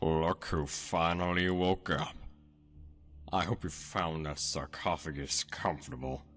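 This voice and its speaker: A man voicing a mocking, sinister villain in a dark fantasy game, speaking in a slow, taunting manner. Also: extremely low pitch, steady tone. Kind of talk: voice acting for fantasy game mod.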